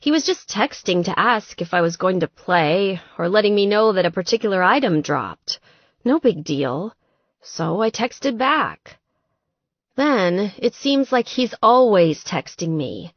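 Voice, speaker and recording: A woman's voice: medium pitch, big pitch swings, unhurried, dry recording, highs cut off. A woman voicing a sweet, distressed young woman gamer in an audiobook, plaintive and exasperated. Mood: confiding. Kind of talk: audiobook character dialogue.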